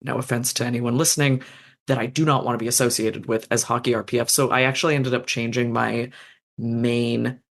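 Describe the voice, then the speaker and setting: Woman with a really deep voice, chatty and self-deprecating, lots of ums. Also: steady tone, a little fast, vocal fry. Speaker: guest author. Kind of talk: casual podcast interview.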